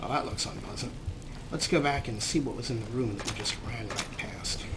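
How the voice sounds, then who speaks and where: A man's voice: lowish voice, a little fast, vocal fry. A man hosting a let's play with casual, chatty commentary on the game. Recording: noisy, game sound behind voice. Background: video game ambience.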